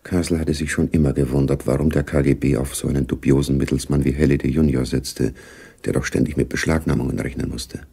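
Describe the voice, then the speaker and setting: A man narrating a spy radio drama gravely, with a deep, gravelly voice. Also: little pitch movement, fast, warm tone, heavily acted.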